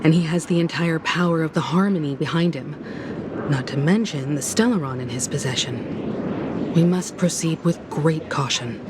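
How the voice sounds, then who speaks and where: A woman voicing a poised, low-voiced mature woman. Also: moderate pace, heavy background noise, natural voice. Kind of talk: game cutscene voice acting.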